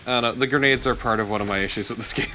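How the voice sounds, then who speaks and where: A man's voice: medium pitch, rapid-fire, bright, forceful tone, a little hiss or hum. A man co-commentating on a video game in casual, blunt, opinionated chat with some swearing. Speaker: gaming let's play co-commentator.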